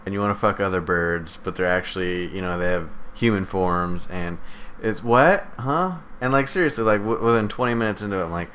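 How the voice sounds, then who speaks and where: A man's voice: deep voice, fairly quick, fairly bright tone, a little hiss or hum. A man co-hosting a casual live podcast, joking and blunt, swearing freely as he talks. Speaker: podcast co-host.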